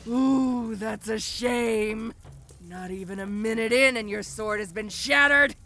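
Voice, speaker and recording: Bright-voiced, teasing giantess ogre played by a woman. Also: a little high, takes it slowly, exaggerated voice. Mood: mocking. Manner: casual, teasing, condescending. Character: tough, domineering giantess ogre warrior, teasing captor. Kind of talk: fantasy audio roleplay addressed to the listener.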